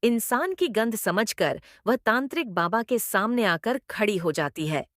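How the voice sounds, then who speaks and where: A woman's voice: mid-range voice, animated, measured pace, no room sound, studio quality. A woman narrating a scripted horror story as storyteller.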